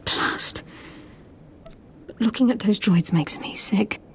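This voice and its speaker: A woman's voice: medium pitch, slow, a little hiss or hum. An actress playing an angry female military officer in a video game, terse, sardonic and disgusted. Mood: bitter anger. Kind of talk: video game cutscene acting.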